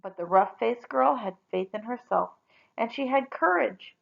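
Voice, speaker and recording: A woman reading a story aloud expressively, with deliberate, dramatic emphasis on key words. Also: on the high side, expressive, vocal fry, highs cut off.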